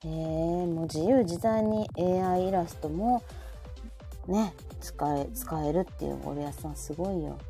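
A woman with a Kansai accent hosting a casual chat, expressive and quick to chime in with backchannels. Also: on the low side, faint music behind. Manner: expressive, reacting with frequent backchannels. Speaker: host.

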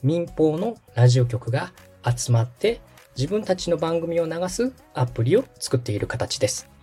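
A man's voice: fairly high pitch, big pitch swings, normal speed, dark tone, husky, soft background music. A man in his thirties from Osaka hosting a podcast, explaining things politely, patiently and step by step. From Osaka, Japan. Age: 30s. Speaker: podcast host, radio director.

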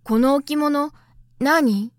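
A woman's voice: high voice, extremely slow, dry recording, clean studio sound, pitched above the performer's own voice. A woman voicing a whimsical little boy prince in a storybook, curious, carefree and self-absorbed. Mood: curious, puzzled.

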